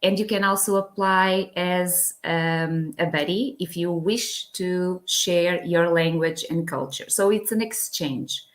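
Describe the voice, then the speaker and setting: Slow, low-voiced Portuguese woman. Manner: hesitant, many fillers, laughs lightly. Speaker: guest from a language exchange project. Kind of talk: casual interview chat.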